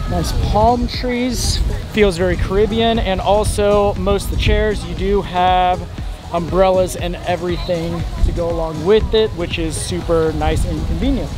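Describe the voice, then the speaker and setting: A man's voice: sits high, fairly even intonation, slow. A young man chatting casually in an outdoor vlog, informal and rambling. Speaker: travel vlogger. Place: outdoors at a beach and island park. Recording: outdoor vlog with background music.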